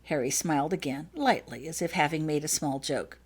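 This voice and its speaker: A woman's voice: low-pitched, a little fast, slightly rough. A woman reading scripted audiobook fiction as the author of the story.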